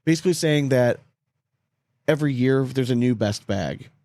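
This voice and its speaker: A man with a slightly low voice talks as a podcast co-host. He rambles emphatically with a sarcastic edge and some swearing, and the sound is dry and close. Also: lively intonation, normal speed, warm tone, no echo. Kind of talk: casual banter, profane.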